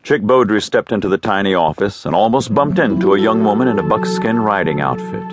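A man narrating a scripted western story in a measured storytelling manner. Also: lowish voice, animated. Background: guitar music.

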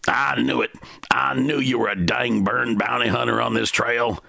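A man voicing a gruff, suspicious gunman who barks threats in a Western story. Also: medium pitch, steady tone, moderate pace, sharp tone, no echo, clean studio sound, voice pushed up, full character voice. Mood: menacing. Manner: barking, threatening.

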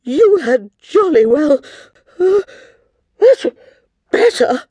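A woman's voice: high-pitched, big pitch swings, a little slow, warm tone, no room sound, voice pushed up, exaggerated voice. A woman voicing a haughty, moody cow queen with a pompous, commanding manner in a children's story. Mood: threatening.

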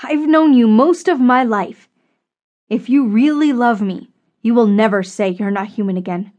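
A woman's voice: fairly high pitch, moderate pace, a little mellow, highs cut off, close to an everyday voice. A woman narrator voicing a strong, earnest, fiercely loyal woman in an audiobook story. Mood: stern.

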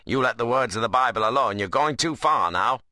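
Man acting a forceful, stern country workman. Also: mid-range voice, animated, moderate pace, no echo, studio quality, pitched above the performer's own voice. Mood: stern rebuke. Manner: blunt, firm, earnest rustic dialect. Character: stern, plain-spoken, principled young workman.

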